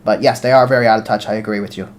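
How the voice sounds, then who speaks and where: A man's voice: fairly low pitch, steady tone, very fast, a little hiss or hum. An American man hosting a live stream, reading viewer comments aloud and talking back to them casually, often restarting his words. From United States. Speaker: livestream host reading chat.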